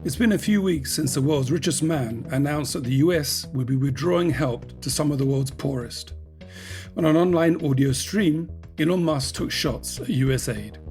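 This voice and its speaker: A man hosting a podcast, reading scripted narration in a measured way and putting questions to a guest. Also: fairly high pitch, a little mellow, faint music behind. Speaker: podcast host and editor.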